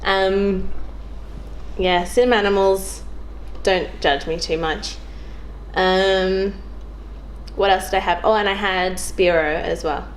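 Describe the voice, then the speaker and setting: A woman's voice: mid-range voice, steady tone, slow pace, a little hiss or hum, poor audio. A young woman chats casually as a podcast guest, thinking aloud in an informal, hesitant way. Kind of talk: casual chat.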